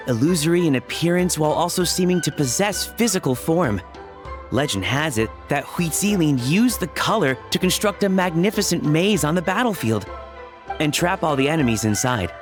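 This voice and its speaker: An actor playing a keen, courteous researcher who explains in a precise, scholarly storytelling manner in a video game. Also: male voice, fairly high pitch, close to an everyday voice. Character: keen, courteous male researcher of ancient ruins. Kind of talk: video game voice acting, scripted exposition.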